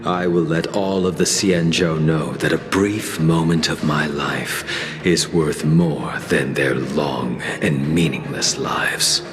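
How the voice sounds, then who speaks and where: A proud craftsman from a fantasy game, grave and defiant. His voice is extremely low and he speaks slowly and deliberately. Music swells clearly behind him. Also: steady tone. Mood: defiant resolve. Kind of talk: video game cutscene acting.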